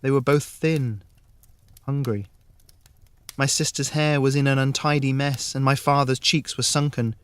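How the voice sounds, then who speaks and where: A man narrating a scripted fairy tale in the first person, measured and with dramatic pauses. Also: mid-range voice, fairly even intonation, fairly bright tone, smooth, dry recording. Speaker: story narrator.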